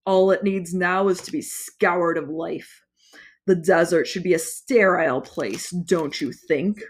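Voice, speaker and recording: A woman voicing a cruel male fire god who speaks slowly and gloats, in an audiobook reading. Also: slightly rough. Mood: gloating menace. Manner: slow, booming, gloating. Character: menacing fiery male god, commanding and cruel. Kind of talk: audiobook character voice.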